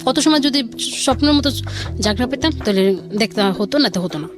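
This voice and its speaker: A woman's voice: fairly high pitch, expressive, brisk. A woman with a Bangladeshi accent telling a personal story conversationally, quoting dialogue back and forth in colloquial speech. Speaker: caller or guest telling her life story. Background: music.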